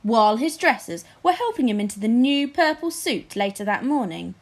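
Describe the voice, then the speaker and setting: A woman's voice: on the high side, big pitch swings, normal speed. A woman narrating a children's storybook expressively, as a teacher reads aloud.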